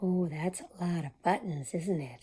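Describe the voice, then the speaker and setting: A woman's voice: on the low side, normal speed, warm tone, a little hiss or hum. A woman reading a children's picture book aloud as a storytime host, expressively and with small asides to young listeners. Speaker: storytime reader at a community library.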